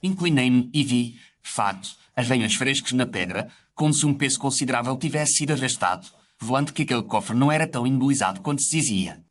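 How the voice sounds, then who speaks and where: A man's voice: mid-range voice, lively intonation, fast talker, hardly any pauses. A man narrates a scripted audio story. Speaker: narrator telling the story as a doctor character.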